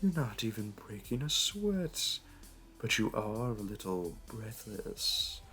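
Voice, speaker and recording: Man playing a slow-talking, smug flirty supervillain. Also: lowish voice, big pitch swings, pushed and bright, heavily acted. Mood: playful, teasing. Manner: teasing, theatrical, unhurried. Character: smug, flirty male supervillain hosting a hero in his lair. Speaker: audio roleplay voice actor. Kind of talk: flirty audio roleplay. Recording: music behind. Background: background music.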